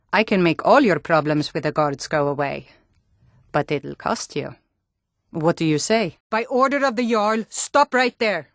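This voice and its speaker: Give me an actress playing a tough fantasy game guardswoman with a bandit's menace. She speaks at a medium pitch, stern and taunting, as if every line is a threat. The sound is dry, with no room on it. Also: dry recording, close to an everyday voice. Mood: sly, conspiratorial, then stern, commanding. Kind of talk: video game character voice lines.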